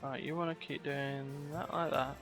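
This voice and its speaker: A man's voice: mid-range voice, level tone, moderate pace. A man casually commenting as he streams a video game, muttering to himself in short fragments. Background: game music.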